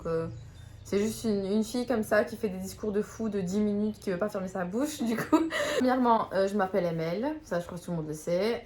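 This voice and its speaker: A young woman from Belgium, chatting casually to her camera, giggly and self-mocking. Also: mid-range voice. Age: young adult. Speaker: YouTuber.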